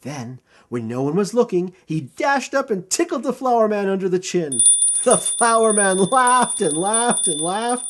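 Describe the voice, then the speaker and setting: A man reads a children's picture book aloud with playful, expressive emphasis, as its author. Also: high-pitched, a little slow, a little hiss or hum. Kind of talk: children's storybook read-aloud.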